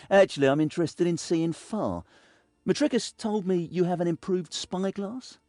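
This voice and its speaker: A man's voice: high voice, unhurried, warm tone, dry recording, clean studio sound, soft background music. A man playing a cowardly, reluctant thief in a fantasy game, hesitant and sardonic. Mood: nervous wariness.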